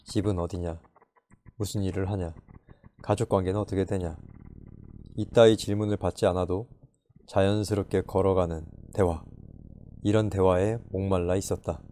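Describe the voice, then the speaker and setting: A man calmly narrating a story in a measured way. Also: deep voice, slight background noise. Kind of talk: audiobook narration.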